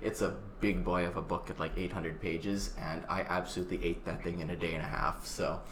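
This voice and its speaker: A man's voice: sits low, flat delivery, fairly quick, echoey room, very noisy. A man chatting casually on a podcast, giving eager recommendations. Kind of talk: casual chat.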